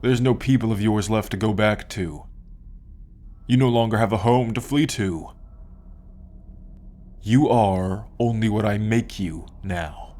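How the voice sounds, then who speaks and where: A man playing a smug, possessive alien captor in an ASMR roleplay, speaking in a condescending, taunting and menacing drawn-out way. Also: lowish voice, darkish tone, close to an everyday voice. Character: smug, possessive male alien abductor and collector. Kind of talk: ASMR roleplay, slow dramatic monologue.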